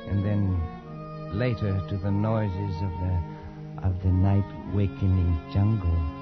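A man's voice: deep voice, little pitch movement, slow pace. An actor playing a shaken, defensive colonial Englishman in a post-war radio drama, speaking in a hesitant, stammering way. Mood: haunted, narrating. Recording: dull vintage radio recording with music.

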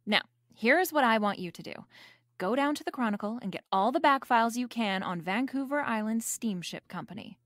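A woman's voice: a little high, animated, a little fast, fairly bright tone, no room sound, studio quality. A woman playing a brash, wisecracking female private detective in a noir radio drama, wry and slangy. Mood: brisk, scheming. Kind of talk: noir radio drama acting and first-person narration.

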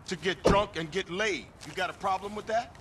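An actor playing a menacing, contemptuous corrupt cop in video game cutscenes. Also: male voice, sits high, moderate pace, noisy background, close to an everyday voice.

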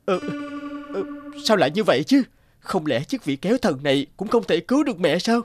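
A man's voice: high-pitched, very animated, fairly quick. A man playing an earnest, dramatic young hero and devoted son in a fairy-tale cartoon. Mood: anxious, determined. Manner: dramatic, talks to himself. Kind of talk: Vietnamese dubbed fairy-tale cartoon acting. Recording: music behind the voice. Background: background music.

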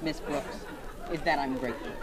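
A woman playing an earnest, gushing, pompously wordy high school boy in an old-time radio comedy. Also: fairly even intonation, moderate pace, a crowd in the background, background noise, natural voice. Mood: earnest, sentimental. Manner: florid, over-earnest, hesitant.

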